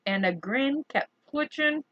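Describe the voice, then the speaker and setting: A woman reading a chapter book aloud to children in an expressive, deliberate storyteller's way. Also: high voice, no room sound, muffled recording.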